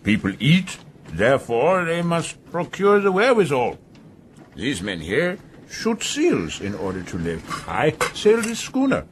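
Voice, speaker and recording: An actor playing a domineering, mocking sea captain in a radio drama, sardonic and probing, with deliberate hums. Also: male voice, fairly high pitch, wildly swooping pitch, slight background noise. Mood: challenging, sardonic.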